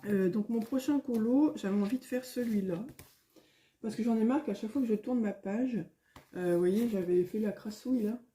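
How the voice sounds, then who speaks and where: A middle-aged woman, a little hoarse, chatting casually at home in a vlog, rambling with many fillers and restarts. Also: fairly high pitch, normal speed, dark tone. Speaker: coloring vlogger. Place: at home, indoors.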